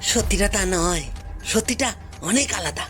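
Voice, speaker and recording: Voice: medium pitch, expressive, moderate pace, a bit bright, faint music behind, natural voice. A performer voicing a poor, wronged forest tribesman in an audio story, pleading anxiously and repeating phrases insistently, with deference. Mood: earnest, aggrieved. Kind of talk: dramatised audio story acting.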